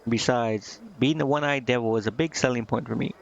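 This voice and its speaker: A man reads out a brash, shrewd tiefling shopkeeper from a fantasy game, one-eyed and worldly. The voice has a gravelly fry at a middling male pitch, delivered plainly with occasional stumbles. It sounds a little dull and lo-fi. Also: fairly quick, slight background noise, lightly acted. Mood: matter-of-fact, reminiscing. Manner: plain reading of scripted dialogue with occasional stumbles.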